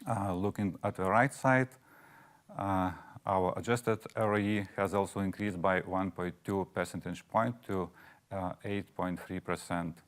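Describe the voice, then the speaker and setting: A man delivers financial results formally, as a finance chief would. He moves through the material haltingly, with many small hesitations between phrases. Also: deep voice, steady tone.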